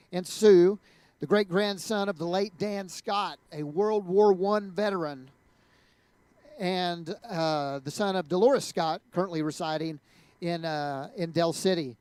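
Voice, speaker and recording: A man's voice: sits high, clear, resonant tone, no room sound. A man hosting an event, introducing a guest in a conversational way with frequent fillers. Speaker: event host.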